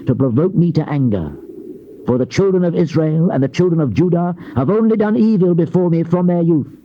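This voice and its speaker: An actor playing a fervent, solemn Old Testament prophet in a theatrical scripture drama, with grave, declamatory and measured archaic delivery. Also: male voice, mid-range voice, warm tone, close to an everyday voice. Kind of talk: dramatized King James scripture reading, theatrical. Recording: muffled old cassette tape with hiss.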